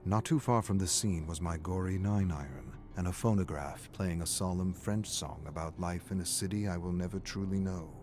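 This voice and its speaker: A man playing a deep-voiced, brooding scholarly prisoner. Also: normal speed, hardly any pauses, natural voice. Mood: brooding, weary. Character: erudite, morbid male prisoner narrating his journal. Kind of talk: scripted dramatic game narration.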